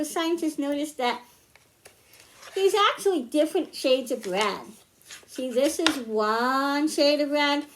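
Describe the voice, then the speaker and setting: A woman presenting a science storytime for young children, explaining things in simple, instructive phrases. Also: sits high. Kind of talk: children's science lesson, spoken to young viewers.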